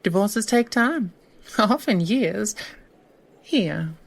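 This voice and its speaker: Sly female attorney, played by an actress, audio drama: very slow delivery, teasing, shrewd, theatrical. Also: mid-range voice, animated, gravelly, full character voice. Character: sly, silky woman lawyer, old friend, teasing and shrewd.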